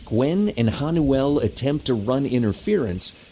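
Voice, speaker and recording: A man's voice: mid-range voice, expressive, a little slow, dark tone, a little hiss or hum, close to an everyday voice. A man reading scripted scene narration for an audio drama.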